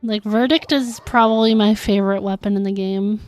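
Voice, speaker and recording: A woman's voice: medium pitch, steady tone, normal speed, a little mellow, no room sound, soft background music. A woman livestreaming a video game, chatting casually and thinking aloud as she answers viewers. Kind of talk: casual chat while playing a video game.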